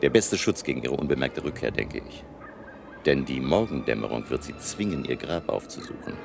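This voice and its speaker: A man's voice: very deep voice, sing-song, moderate pace, gravelly, exaggerated voice. An actor playing a resolute, authoritative male professor and vampire hunter in a radio drama, grave and commanding. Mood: hushed, deliberate. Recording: music behind the voice.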